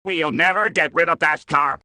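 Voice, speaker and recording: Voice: mid-range voice, normal speed, bright, forceful tone, no echo. A performer voicing a grumpy, stubborn cartoon character, blunt and contrary, in short comedy ad skits. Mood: skeptical.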